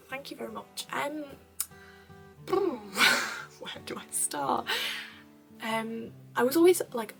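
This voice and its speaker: Chatty young woman over soft guitar. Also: mid-range voice. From North Wales. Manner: chatty, rambling, many fillers and soft laughs. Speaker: vlogger answering viewer questions. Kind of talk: casual chat. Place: bedroom at her parents' home.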